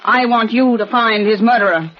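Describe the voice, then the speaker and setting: A woman's voice: fairly high pitch, normal speed, close to an everyday voice. An actress in 1940s radio style playing a formal, insistent wealthy woman of forty. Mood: tense. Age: 40s. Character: tense, proper wealthy eldest daughter. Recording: old lo-fi radio recording.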